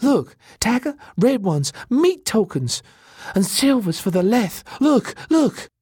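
A man's voice: sits high, big pitch swings, slow pace, darkish tone, husky, no room sound, clean studio sound, voice pushed up, full character voice. A man voicing a fragile, needy woman in a story, pleading and repeating herself in a plaintive, childlike way. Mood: excited.

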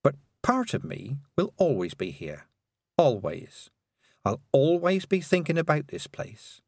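A man voicing a calm young witch girl who speaks with quiet, steady menace. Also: on the high side, measured pace, no room sound, clean studio sound, pitched above the performer's own voice, full character voice.

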